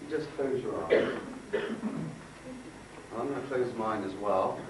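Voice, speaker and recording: A man's voice: medium pitch, animated. Man speaking from afar in echoey room. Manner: halting, conversational, repeats phrases for emphasis. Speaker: workshop speaker and aura healer. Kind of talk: informal talk with demonstration and guided visualisation. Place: indoor room before a group. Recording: echoey room, distant mic, faint noise.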